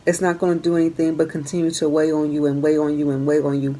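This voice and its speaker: A woman giving a casual tarot reading to her viewers, talking earnestly and repeating her phrases, her voice going hoarse. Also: low-pitched, completely flat delivery, fast, warm tone, slight background noise. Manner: earnest, repeats phrases while coaching the listener. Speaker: tarot reader.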